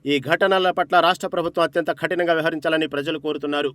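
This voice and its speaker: A man's voice: a little high, steady tone, fast talker, fairly bright tone. A man reading a scripted news report as narrator, with an emphatic, dramatic delivery.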